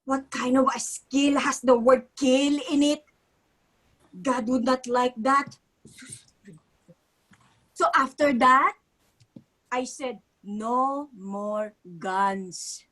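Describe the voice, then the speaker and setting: A woman's voice: high voice, very slow, long pauses, fairly bright tone, full character voice, low-quality recording. An actress playing a nagging, guilt-tripping older Filipino mother with an accent, dramatic and scolding, in a staged comedy reading. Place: virtual live event, recorded remotely.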